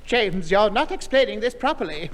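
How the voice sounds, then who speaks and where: A man playing a fussy, flustered British gentleman, nervously polite, in 1960 radio sitcom style. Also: high-pitched, very animated, normal speed. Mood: alarmed, protesting. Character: flustered, fussy gentleman protesting his innocence. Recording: noisy old radio recording, hollow reverb.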